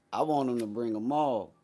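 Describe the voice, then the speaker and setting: A man's voice: medium pitch, mellow, a little hiss or hum. A man hosting a casual live stream, chatting with viewers in a slangy, boastful, teasing way.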